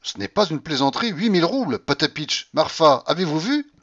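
Man playing an imperious old Russian grandmother, shrill-pitched. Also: big pitch swings, fairly quick, fairly bright tone, dull audio. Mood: elated, commanding. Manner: blunt, bossy, exclamatory.

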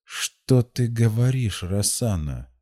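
A man voicing a frail old grandfather in an audiobook, anguished and stern, in slow, pained, halting speech. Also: medium pitch, animated, mellow, voice pushed up. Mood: shocked, stern.

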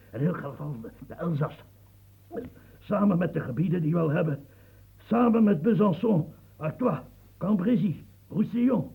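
A man's voice: on the high side, exaggerated voice. An actor playing an aging French statesman near death, feverish and rambling, stammering as he talks. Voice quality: gravelly, stammering. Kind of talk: radio play acting. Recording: tinny lo-fi old radio recording.

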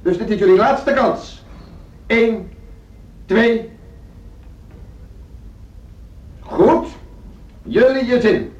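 A man's voice: extremely high pitch, slow. Echoey, stern male orchestra conductor. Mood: threatening, angry. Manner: condescending, formal, commanding. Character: stern, authoritarian music school orchestra conductor, losing patience. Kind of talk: radio drama acting. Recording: echoey, distant, dull noisy radio recording.

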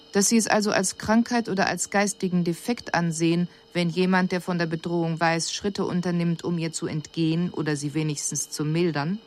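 An actress playing an analytical alien envoy, giving a formal report in a measured, detached manner. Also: female voice, on the low side, a little mellow, natural voice. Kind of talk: formal scripted report in radio drama.

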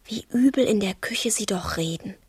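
An actress playing a naive, infatuated young girl in a radio drama, speaking shyly and curiously in a hesitant, husky half-whisper. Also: mid-range voice, moderate pace, a little mellow, no room sound. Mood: adoring. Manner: hesitant, wondering, childlike questioning. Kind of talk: intimate radio drama acting.

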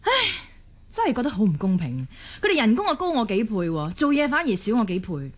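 A woman's voice: medium pitch, very animated, fairly quick. An actress playing a tired, overworked working mother with a Hong Kong Cantonese accent, sighing and complaining as in radio drama. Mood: weary, complaining.

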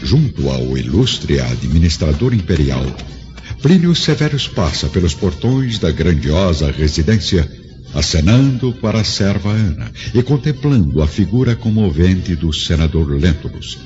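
Deep, dramatic male radio drama narrator. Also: very animated, a little slow, darkish tone. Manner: solemn, grandiose storytelling. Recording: dull lo-fi audio, prominent music behind.

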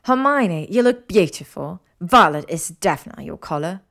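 Woman playing an excitable, higher-voiced young witch hostess. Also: moderate pace, vocal fry. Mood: excited.

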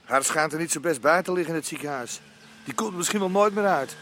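An actor in an audio drama playing a chiding, gossipy bar regular. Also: male voice, a little high, fast, a bit bright, a little hiss or hum, natural voice. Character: chiding male barroom regular.

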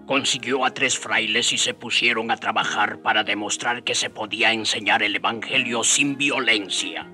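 A man's voice: on the low side, moderate pace, hardly any pauses, sharp tone, soft background music. An actor playing a man who fondly recalls past days as the narrator of a radio drama. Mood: reflective. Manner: reminiscent, storytelling. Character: fond storyteller recalling his days with the friars.

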